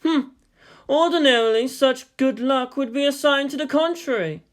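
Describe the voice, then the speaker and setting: Man playing high-pitched, amused older strategist. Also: lively intonation, normal speed. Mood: amused, musing. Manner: grinning, philosophical, teasing. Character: cheerful, amused older Chinese male military strategist. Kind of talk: dramatized audiobook dialogue.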